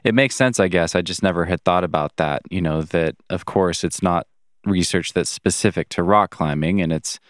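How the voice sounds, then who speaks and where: A male podcast host with a very deep, smooth, resonant voice. He asks long, loosely strung questions and chats casually. The recording is dry and close. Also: moderate pace, no echo.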